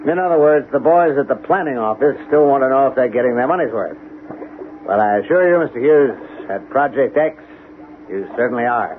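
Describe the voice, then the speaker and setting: An actor playing a proud military colonel who runs a secret project, speaking in a formal, assertive, officious way in 1970s radio drama. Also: male voice, mid-range voice, lively intonation, fairly quick, phone-quality sound, close to an everyday voice. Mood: proud, courteous. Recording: faintly noisy, band-limited 1970s radio recording.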